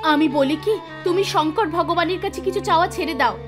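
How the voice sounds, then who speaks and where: A scolding, sceptical cartoon housewife, played by a woman, with an extremely high voice. She nags and exclaims as she talks. Music plays clearly behind her. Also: brisk. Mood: scornful. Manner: nagging, exclamatory. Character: scolding, sceptical wife of a poor man. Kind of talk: animated moral story dialogue. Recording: music under the voice.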